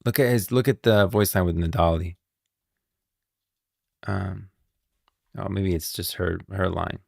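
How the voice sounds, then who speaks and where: A man's voice: deep voice, fairly even intonation, measured pace, vocal fry, darkish tone, no echo, studio quality. A man streaming casual game lore commentary, thinking aloud in an informal way. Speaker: live streamer.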